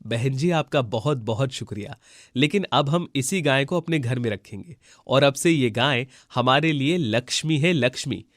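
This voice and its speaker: A man's voice: mid-range voice, lively intonation, moderate pace, no room sound, clean studio sound, natural voice. A man voicing a loyal, poor village husband in a cartoon story, speaking politely and emphatically. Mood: firm, earnest.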